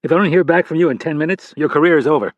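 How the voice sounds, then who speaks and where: Guy narrator doing an fbi big boss chewing out a rookie, loud and pushy, kinda hoarse. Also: fairly high pitch, rapid-fire, no room sound, highs cut off, voice pushed up, close to an everyday voice. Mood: stern, threatening. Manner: barking, intimidating. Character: stern, bullying male FBI executive.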